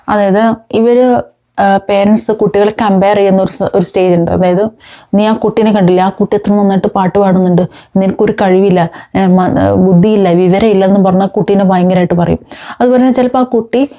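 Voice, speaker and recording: Woman explaining, slightly muffled lo-fi sound. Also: medium pitch. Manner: informal, mixes in English words. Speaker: host of a parenting talk. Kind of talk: explanatory talk to viewers with examples.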